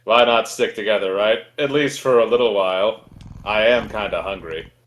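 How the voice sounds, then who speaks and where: A man's voice: lowish voice, moderate pace, fairly bright tone. A man in casual game banter, reading a character's dialogue aloud in a wry, sweary way. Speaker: co-host voicing the player character.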